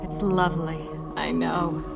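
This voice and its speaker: A woman's voice: on the low side, flat delivery, a little slow, vocal fry, loud music behind the voice. A woman playing a soothing, eager restaurant server in an audio drama, reassuring and coaxing. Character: soothing, eager female server pushing strange health food.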